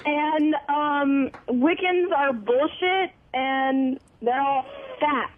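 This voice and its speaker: A woman calling in to a radio show, slow, scornful and shrill. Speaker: radio caller. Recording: tinny phone line with hiss.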